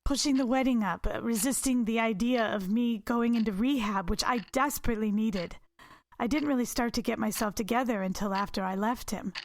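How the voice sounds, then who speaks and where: A woman's voice: fairly high pitch, fairly quick, lightly acted. An actress playing a troubled, haunted woman in an audio drama, hesitant yet pressing with her questions. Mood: subdued, guarded. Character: troubled, haunted woman recovering from addiction, insistent and uneasy.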